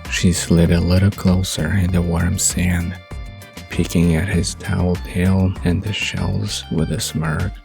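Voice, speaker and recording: A man's voice: low voice, flat delivery, slow pace, creaky, a little mellow, faint music behind. A man narrating a scripted romantic bedtime story as the narrator of an audio drama.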